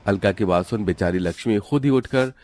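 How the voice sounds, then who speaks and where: A man's voice: on the low side, brisk, darkish tone. A man narrating a scripted family cartoon story as the storyteller.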